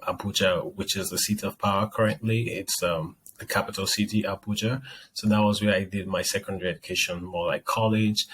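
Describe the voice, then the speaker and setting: A Nigerian man speaks as a guest in a casual interview, in a fairly low voice. He explains things as he goes, with faint background noise around him. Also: fairly bright tone.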